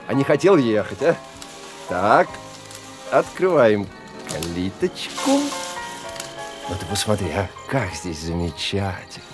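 A man's voice: a little high, heavily acted. An actor in a Russian radio drama playing a boastful, talkative married man who puts on expansive good cheer. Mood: cheerful, expansive. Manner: expansive, talkative, peppers talk with questioning tags.

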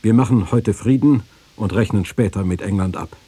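Low, dark male quotation reader. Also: moderate pace, breathy edge. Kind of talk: scripted reading of documentary quotations.

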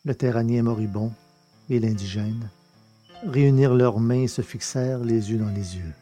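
A man narrates a novel in a measured, deliberate way. His voice is mellow and dark-toned, set at an average pitch for a man. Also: faint music behind. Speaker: audiobook reader. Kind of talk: scripted narration of a science-fiction novel.

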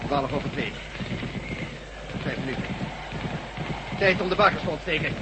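High-voiced, commanding resistance chief played by a man. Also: lively intonation, moderate pace, natural voice. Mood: dry, tense. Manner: sardonic, barking orders. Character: commanding male resistance chief, dry and decisive. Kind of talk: Dutch science fiction radio drama acting. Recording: noisy radio play recording with vehicle rumble.

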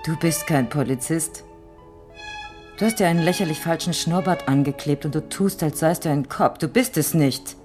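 An actress plays a woman in a radio drama, confronting a man she knows. Her voice sits fairly low for a woman and is full of insistent emotion. Music plays clearly behind her. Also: normal speed, natural voice. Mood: stunned, insistent. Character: woman emotionally confronting a disguised old lover.

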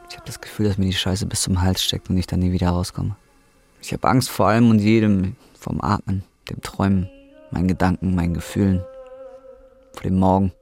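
A man's voice: deep voice, vocal fry, darkish tone. An actor playing a bitter, despairing injured roofer in his twenties in a radio drama, talking in crude slang. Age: 20s. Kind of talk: radio drama acting and first-person narration.

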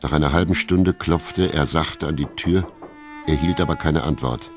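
An older man narrating a radio play in a measured, literary storytelling style. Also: a bass voice. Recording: studio narration with faint music.

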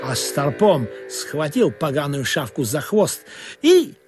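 Animated seafaring narrator, high voice going up and down a lot, dramatic comic audio play. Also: male voice, slow pace, music in the background. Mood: excited, dramatic. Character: lively seafaring storyteller recounting absurd adventures.

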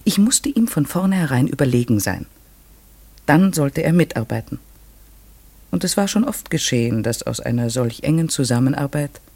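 A courteous, inquisitive radio-play protagonist. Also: male voice, mid-range voice, a little mellow, husky. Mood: reflective, measured. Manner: polite, careful, inquisitive, articulate. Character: curious, courteous, methodical man questioning a mysterious raven. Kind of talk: classic German radio play, narration and dialogue.

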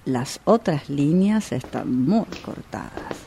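A woman's voice: low voice, lively intonation, gravelly, dark tone, a little hiss or hum, heavily acted. An actress with an Argentine Rioplatense accent playing a superstitious, needy, hard-luck woman in a radio play, telling her woes in a dramatic, aggrieved way. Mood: dramatic, anxious. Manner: theatrical, drawn-out storytelling.

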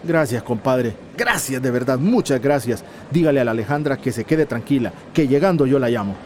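A man's voice: mid-range voice, animated, a little fast, a little hiss or hum, close to an everyday voice. An actor playing an anxious, traumatized migrant man in a radio drama, emotional, hesitant and pleading. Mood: hesitant, grateful.

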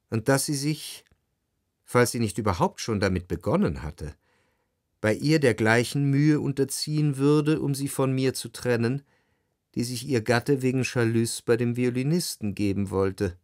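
A man acts an anxious gentleman thinking aloud in a radio drama. He speaks reflectively in long flowing phrases, at a medium male pitch. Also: normal speed, lightly acted. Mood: uneasy, reflective. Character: introspective, anxious gentleman narrating his fears. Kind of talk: scripted first-person narration in radio play.

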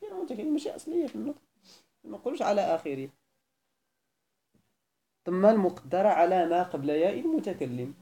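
A Moroccan teacher with a mellow, dark voice leads a grammar class, firing short questions at his students and correcting their answers. He slides between formal Arabic and his local dialect, with some words coming from farther off the mic. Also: high-pitched, very animated, quick pace, long pauses. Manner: probing, repeatedly asks why and corrects.

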